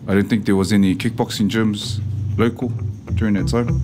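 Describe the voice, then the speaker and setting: A man, a pro fighter answering interview questions in a halting way, with many fillers and restarts. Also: fairly low pitch, fairly even intonation, over loud music. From Samoa. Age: 30s. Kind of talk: documentary interview. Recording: noisy background ambience.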